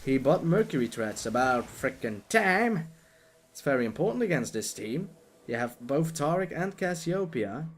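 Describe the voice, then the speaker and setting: A young man with a Dutch accent giving excitable, jokey live commentary on a video game, talking to the players on screen. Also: fairly high pitch, moderate pace.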